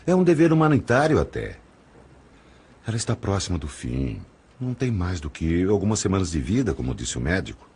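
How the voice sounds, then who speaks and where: A man's voice: deep voice, very animated, fairly quick, a little mellow, slightly rough, heavily acted. An actor playing an ardent, middle-aged married man wooing his lover in an audio drama, romantic and persuasive. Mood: persuasive, tender. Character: ardent older married man, smooth-talking suitor.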